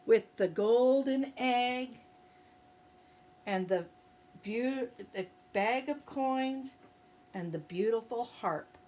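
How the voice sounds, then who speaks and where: A woman retelling a fairy tale to young children in a gentle, expressive, sing-song way. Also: fairly high pitch. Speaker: early-years storyteller. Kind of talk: children's story reading.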